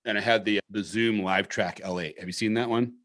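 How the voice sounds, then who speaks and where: A man with a deep voice hosting a casual podcast chat, animated and swearing freely, often repeating words as he gets going. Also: a little fast, no room sound. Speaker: podcast host. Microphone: studio podcast mic.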